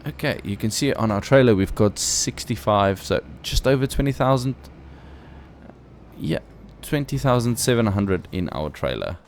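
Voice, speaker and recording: A man's voice: on the low side, animated, moderate pace, a little hiss or hum. A man who is not a native English speaker gives casual gameplay commentary as a let's play host, thinking aloud with playful asides.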